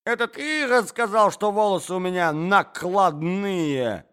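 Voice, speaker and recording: A man's voice: high-pitched, lively intonation, a little slow, a bit bright, smooth, voice pushed up. A man voicing a frail, forgetful old prince who talks in a muddled, bewildered way.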